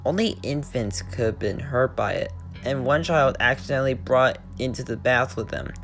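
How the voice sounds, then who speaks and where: A man at a middle pitch reads a creepy internet story aloud to his channel, keeping a plain tone and tripping over words before starting lines again. Guitar music plays clearly behind his voice. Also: normal speed, a bit bright. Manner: plain reading with frequent stumbles and restarts. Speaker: channel host and story reader.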